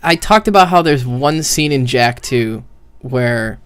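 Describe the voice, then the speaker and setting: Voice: mid-range voice, lively intonation, measured pace, fairly bright tone, clean tone, dry recording. A streamer giving casual, rambling game commentary in a blunt, profane way, full of "like", into a microphone with the gain turned up. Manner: rambling, heavy use of "like". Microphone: streaming microphone with high gain. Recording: close, dry, hot mic gain.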